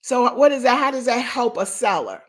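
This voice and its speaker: A raspy-voiced woman runs a live coaching session. Her pitch sits a little high. She drives each point home with lively emphasis, speaking straight to her listeners. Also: normal speed. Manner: animated, emphatic, talks straight to the audience. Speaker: real estate coach.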